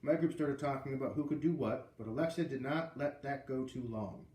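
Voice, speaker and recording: A man's voice: mid-range voice, brisk, a little mellow, roomy sound. A man with an American accent reading a children's book aloud, with casual asides. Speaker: read-aloud reader.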